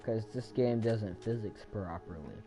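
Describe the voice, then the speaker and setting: A man chatting casually and profanely while playing a game, with sudden loud outbursts. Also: fairly low pitch, measured pace, faint music behind. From United States. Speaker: gaming video creator. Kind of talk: casual gameplay chat.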